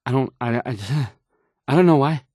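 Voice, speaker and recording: An actor playing an awkward, nervous young radio DJ in a video game, stammering and rambling through news reports. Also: male voice, medium pitch, normal speed, a little mellow, no echo, clean studio sound, lightly acted. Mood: nervous, unsure. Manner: hesitant, stammering, rambling, self-doubting. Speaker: radio newsreader. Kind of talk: bumbling news announcing.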